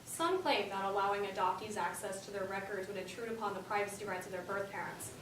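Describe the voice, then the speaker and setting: A woman's voice: medium pitch, fast talker. A woman delivering scripted, informational narration on stage. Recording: echoey room, distant mic, noisy.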